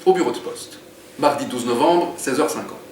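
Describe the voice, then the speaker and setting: A man's voice: medium pitch, normal speed, a little mellow, slight background noise. A man, an author, talking in an interview and reading from his book, thoughtful and a little hesitant. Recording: roomy echo, distant mic.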